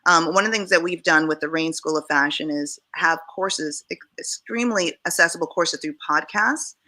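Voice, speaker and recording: A woman's voice: deep voice, moderate pace, bright, forceful tone. A woman from Jamaica speaking earnestly as a panelist in a discussion.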